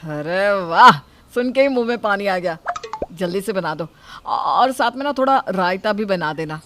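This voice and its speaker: Guy doing a young husband in a moral story, fussy about food but caring, expressive questions. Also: high-pitched, normal speed, slight background noise, exaggerated voice. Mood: eager. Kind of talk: acted dialogue in a Hindi moral story.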